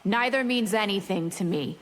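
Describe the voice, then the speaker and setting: A woman's voice: medium pitch, moderate pace, long reverb tail, heavy background noise, natural voice. A woman voicing a proud, hungry, threatening vampire in a video game, defiant and disdainful. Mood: defiant, menacing. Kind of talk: video game fight-intro dialogue.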